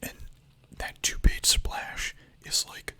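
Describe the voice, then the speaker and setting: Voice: slow, sharp tone. A young adult streamer whispering ASMR-style, chatting casually with chat, full of filler words and the odd swear.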